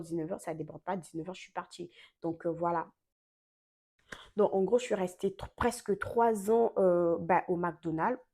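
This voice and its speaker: Young woman vlogging, french not her first language, warm mellow tone, lots of euh and little restarts. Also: on the low side. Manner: chatty, full of fillers and self-corrections. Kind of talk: casual storytelling to camera.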